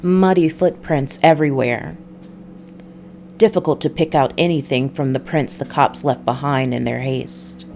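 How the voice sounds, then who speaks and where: Woman voicing a low, weary noir detective. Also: steady tone, a little slow, darkish tone, slight background noise. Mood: brooding. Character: weary, hard-boiled male noir detective narrator. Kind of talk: noir visual novel narration.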